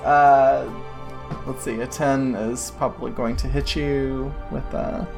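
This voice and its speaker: A woman's voice: a bass voice, fairly even intonation, takes it slowly, gravelly, over music. A woman narrating a tabletop game as its game master, rambling with lots of fillers and laughing often.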